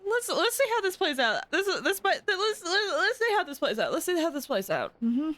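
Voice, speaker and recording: A woman's voice: extremely high pitch, sing-song, brisk, a bit bright. A woman chatting casually and playfully as she comments on a game live, often repeating herself. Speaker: game streamer.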